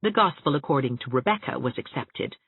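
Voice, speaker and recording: Woman audiobook narrator, lively varied intonation, husky tone, scripted literary storytelling, thin band-limited sound. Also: a bass voice, a little fast, dry recording. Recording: narrow, phone-like audiobook sound.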